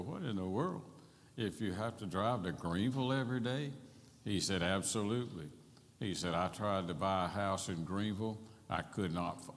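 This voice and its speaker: An older man, in his seventies or beyond, preaching a folksy, plain-spoken sermon with personal stories. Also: low voice. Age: 70s or older. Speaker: Baptist pastor. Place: large church sanctuary, at a pulpit. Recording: reverberant church hall.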